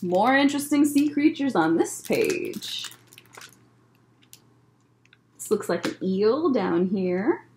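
A young American woman, a children's librarian, reading a picture book aloud to children with expressive, deliberate phrasing and short asides. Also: medium pitch, slight background noise. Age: young adult. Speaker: children's librarian hosting story time. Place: indoor library room lined with bookshelves. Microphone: webcam at desk distance.

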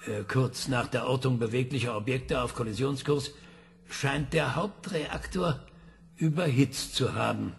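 Calm probing scientist, slightly gravelly, radio play. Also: male voice, mid-range voice, measured pace, a little hiss or hum. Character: patient male scientist questioning a ship computer.

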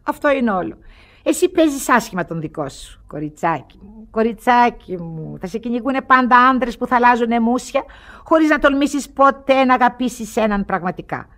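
High-voiced, world-weary old grande dame. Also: female voice, lively intonation. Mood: weary, reflective. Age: older. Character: rich, bored, lonely old aunt, wry and commanding. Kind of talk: theatrical radio play acting.

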